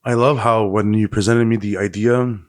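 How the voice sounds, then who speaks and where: Low-voiced man hosting a conversational podcast. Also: fairly even intonation, normal speed, no room sound. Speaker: podcast host.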